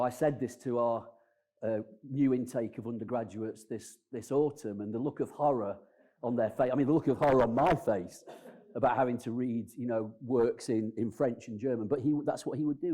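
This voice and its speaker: Loud middle-aged man, mellow tone. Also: mid-range voice. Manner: loud voice, self-aware and chatty. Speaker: professor introducing a lecture. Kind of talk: welcoming remarks at a public lecture. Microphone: mic'd up.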